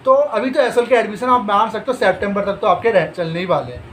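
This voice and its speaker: A man with a high-pitched voice talks straight to students as the host of an education channel. He asks himself questions and answers them in a reassuring way. A faint background noise sits under the recording. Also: brisk, fairly bright tone. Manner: direct, reassuring, rhetorical questions. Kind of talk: explainer talk to students.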